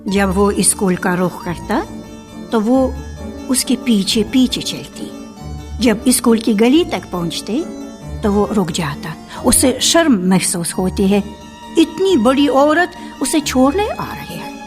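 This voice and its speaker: A woman narrates a scripted radio drama with expressive, literary delivery. Her voice is high and lively in pitch, moving at a slow pace. It is an archival broadcast recording with music underneath.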